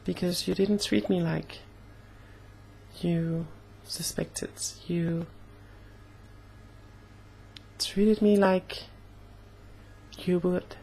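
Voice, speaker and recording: A young woman talking casually in a personal vlog, slightly hoarse, with an earnest, halting way of speaking. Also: on the low side, steady tone, mellow, rough recording. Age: young adult. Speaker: vlogger.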